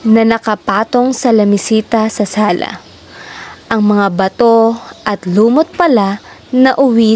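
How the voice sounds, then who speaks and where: A person reading a children's story aloud in a measured, deliberate storytelling manner. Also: mid-range voice, darkish tone, a little hiss or hum.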